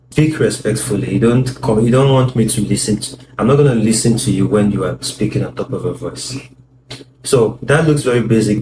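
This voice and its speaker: Man, low voice, earnest, instructive, echoey room, background noise. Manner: earnest, repeats words, gently instructive. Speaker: co-host, husband. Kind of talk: casual talk on marriage advice.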